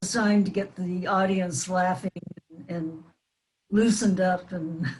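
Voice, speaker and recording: A woman's voice: lowish voice, steady tone, slow, a little mellow, low-quality recording. An older American woman reminiscing casually as a panel guest, hesitant as she recalls details. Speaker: panel guest, former child radio actress.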